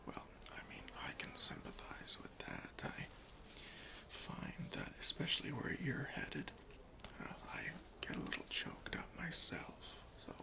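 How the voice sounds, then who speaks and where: An officious chief security officer acted out by a man in an ASMR roleplay. The voice is whispered and creaky, with pitch that swings up and down. The questioning is deliberate and mock-stern, yet polite and open to persuasion. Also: full character voice. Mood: sympathetic, approving. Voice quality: whispery, breathy.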